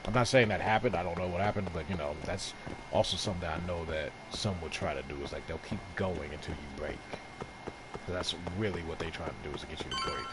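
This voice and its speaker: A man's voice: deep voice, quick pace. A man streaming a video game with casual, rambling, repetitive commentary. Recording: noisy stream, game audio behind. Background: video game audio.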